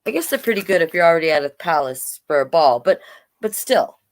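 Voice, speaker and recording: A woman hosting a storytime, reading a picture book aloud with chatty, gently encouraging asides to listeners. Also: deep voice, very animated, fairly quick. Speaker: children's storytime reader and host.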